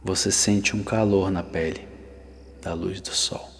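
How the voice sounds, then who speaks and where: A low-voiced Brazilian man guides a meditation in an ASMR style. He speaks very slowly and calmly, leaving long pauses, with an even, barely varying melody. His voice trails into a long reverb. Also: vocal fry. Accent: Brazilian Portuguese. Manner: calm, instructing, with long pauses. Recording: long reverberant hall-like echo.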